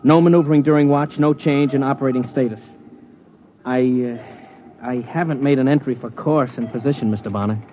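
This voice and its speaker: An actor playing an earnest, green young ensign, deferential and a little hesitant, in a 1950s radio drama. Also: male voice, medium pitch, brisk, natural voice. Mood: deferential, defensive. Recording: muffled, noisy old radio recording.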